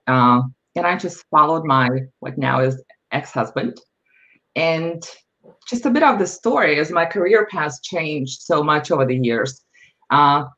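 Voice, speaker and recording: A woman's voice: low voice, a bit bright. A middle-aged woman from Lithuania, a podcast guest speaking candidly and reflectively about her life, with frequent fillers. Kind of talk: personal storytelling. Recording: slightly dull lo-fi audio.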